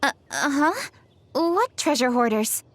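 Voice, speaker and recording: A woman's voice: high voice, sing-song, very noisy. A woman playing a diligent young female official in an anime-style game, speaking politely and a little formally. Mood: flustered, sheepish. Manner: polite, measured, slightly formal.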